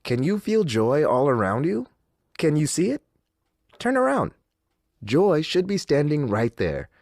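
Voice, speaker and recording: A man's voice: on the high side, wildly swooping pitch, unhurried, a little mellow, dry recording, studio quality, heavily acted. A man playing an upbeat, faintly creepy theme-park announcer in a game, polished and insistently friendly in a scripted public-service message.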